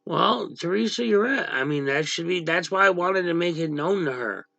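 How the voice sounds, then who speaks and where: A gravelly-voiced man hosting a livestream from a dim room at home, blunt and easily irritated with his chat. His voice sits fairly high and sounds bright and tense. The sound is dull and lo-fi, and very dry. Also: expressive, normal speed, dry recording. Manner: blunt, irritated, snaps at chatters. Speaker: livestream host. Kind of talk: casual livestream chat reading viewer comments.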